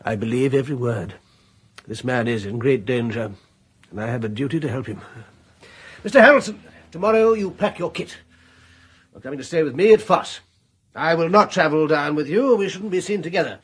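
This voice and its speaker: An actor playing a calm, firm middle-aged gentleman who narrates and gives measured orders in a radio drama, with a husky voice. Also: on the high side. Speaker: narrator and lead character.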